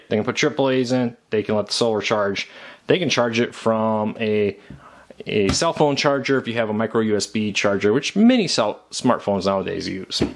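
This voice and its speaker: An American man giving a casual, unscripted product review in a conversational, matter-of-fact way. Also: medium pitch. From United States.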